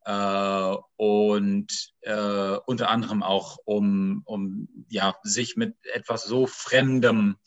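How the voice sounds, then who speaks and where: A man with a fairly low voice asks questions after a talk. He speaks very slowly, halting often and restarting his sentences as he searches for words. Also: level tone, highs cut off. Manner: halting, full of fillers and restarts. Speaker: audience member asking questions. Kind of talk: conversational questions.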